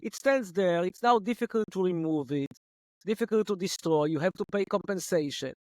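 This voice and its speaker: High-voiced man arguing a point. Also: normal speed, dry recording, rough recording. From Israel. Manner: argumentative, lecturing, answers imagined objections. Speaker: guest. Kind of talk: analytical debate.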